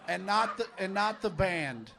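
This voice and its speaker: A man on a comedy panel, drily and slowly questioning a comic with roast humor. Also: high-pitched, lively intonation, gravelly, pushed and bright. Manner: dry, measured roast questioning. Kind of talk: casual comedy banter.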